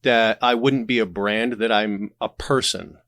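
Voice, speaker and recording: A man's voice: fairly low pitch, steady tone, a little slow, a bit bright, no room sound. Candid male podcast guest, dry sound. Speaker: podcast guest, audio drama creator and streamer. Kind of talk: casual, candid conversation.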